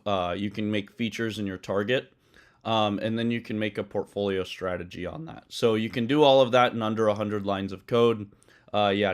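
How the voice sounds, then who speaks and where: A man hosting a live coding stream, talking loosely with many ums and uhs and correcting himself as he goes, his voice a bit hoarse. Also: medium pitch. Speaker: livestream host coding a trading tool. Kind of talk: casual live-stream explanation.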